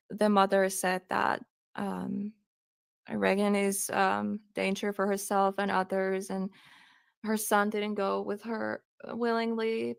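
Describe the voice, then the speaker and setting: A young woman with a Finnish accent, reading a true crime case aloud on a live stream, hesitant with many pauses and fillers. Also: medium pitch, vocal fry, clean tone, no echo, studio quality. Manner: hesitant, many ums, reads quotes aloud.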